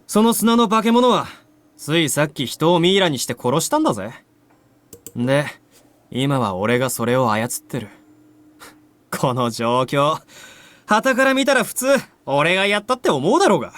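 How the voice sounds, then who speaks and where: A male actor voicing a cocky, nonchalant young man in a visual novel, with rough, offhand speech. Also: a little high, expressive, fast, fairly bright tone, dry recording. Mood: exasperated, defensive. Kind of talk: Japanese visual novel voice acting.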